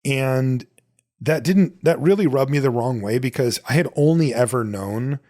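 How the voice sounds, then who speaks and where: A man's voice: medium pitch, normal speed, warm tone. A middle-aged American man hosting a podcast, talking casually and conversationally as he answers questions and tells personal stories. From United States. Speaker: podcast host. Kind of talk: casual solo talk answering listener questions.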